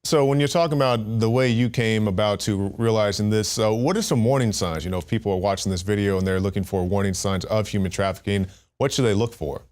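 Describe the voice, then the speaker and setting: A man hosting a news segment, reading a measured intro and then interviewing a guest. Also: on the low side, darkish tone. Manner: measured, reads scripted intro then asks questions.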